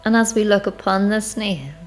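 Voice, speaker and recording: A woman guiding a spiritual meditation in an unhurried, reflective, measured way, with long pauses between phrases. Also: medium pitch, rough recording. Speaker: guided meditation leader. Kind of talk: slow spiritual guided meditation narration. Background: music.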